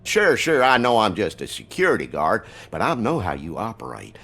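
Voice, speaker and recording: Pompous, low-voiced, animated security guard. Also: male voice, moderate pace, heavily acted. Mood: indignant, eager. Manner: pompous, mimicking police jargon. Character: eager, self-important male hospital security guard. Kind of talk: comic video game voice acting.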